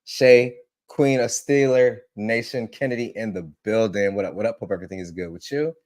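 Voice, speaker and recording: A man's voice: fairly low pitch, moderate pace, darkish tone. A man hosting a casual tech livestream, a little congested from allergies, repeating himself and greeting viewers by name. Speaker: livestream host.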